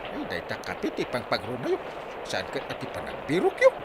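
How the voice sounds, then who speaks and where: A man acting a gruff, selfless village captain in a drama serial, speaking haltingly with many interjections. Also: mid-range voice, wildly swooping pitch, background noise. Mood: earnest, humble.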